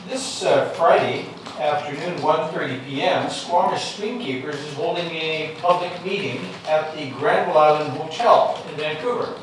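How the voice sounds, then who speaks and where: A man's voice: a little high, normal speed, few pauses, noisy background. A man councillor giving a plain, informative announcement at a meeting. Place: council chamber. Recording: echoey council chamber, far mic.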